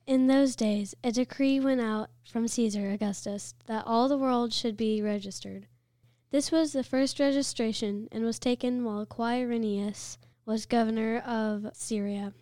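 A woman reading a scripture passage aloud in a measured, clear way. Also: a little high, steady tone, warm tone, dry recording, clean studio sound. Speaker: scripture reader.

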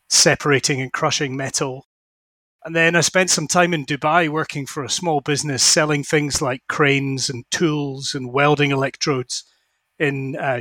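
Scottish male guest, 40s, reflective storyteller, a touch higher pitched, dry recording. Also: a bit bright, no echo. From Scotland. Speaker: fund manager guest. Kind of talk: podcast interview.

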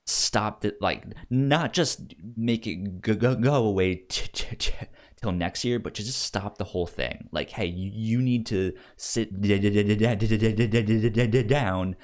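A man with a low voice talks slowly as co-host of a casual film discussion. He stutters frequently, repeating first sounds and short words before he moves on. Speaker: podcast co-host discussing films.

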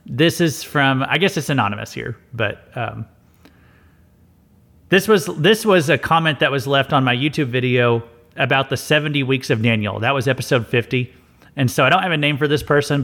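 A man with a middle-pitched voice talks through listener mail on his podcast. His delivery is casual and earnest, full of small hesitations, fillers and restarts as he gathers his thoughts. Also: a bit bright. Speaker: podcast host. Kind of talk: casual mailbag commentary.

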